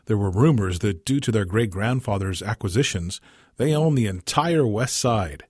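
A man's voice: fairly low pitch, lively intonation, moderate pace, darkish tone, breathy edge, no echo, studio quality. A man narrating a short story from a script as a reader.

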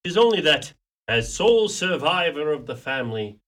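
An actor playing a scheming, impatient Irish nephew in a radio play, with a husky voice and a theatrical, protesting manner. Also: male voice, on the high side, sing-song, moderate pace, fairly bright tone. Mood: impatient, insistent.

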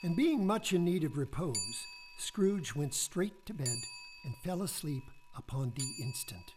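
A man's voice: on the high side, sing-song, measured pace. A man reading scripted storybook narration as the narrator of a radio play.

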